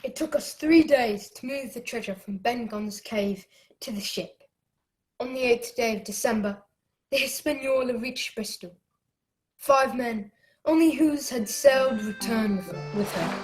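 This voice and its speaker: A woman's voice: a little high, a little slow, close to an everyday voice, rough recording. A woman playing the earnest narrator of an adventure radio play, telling it as a dramatic memory. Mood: reflective. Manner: dramatic storytelling.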